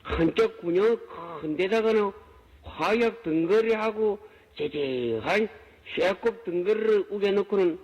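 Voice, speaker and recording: A man performs a Joseon scholar-official pleading with his king in a heavy Gangwon Province dialect, his voice pitched high and rambling on in homely, rustic phrases. The clip plays back through a hall with a long echo. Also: unhurried, darkish tone, dull audio. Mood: urgent, rambling. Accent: Gangwon Province Korean dialect.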